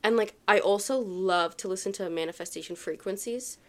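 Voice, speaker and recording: Fast-talking young woman, stuffy nose. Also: mid-range voice. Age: young adult. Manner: chatty, fillers of "like". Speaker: podcast co-host. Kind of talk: casual chat.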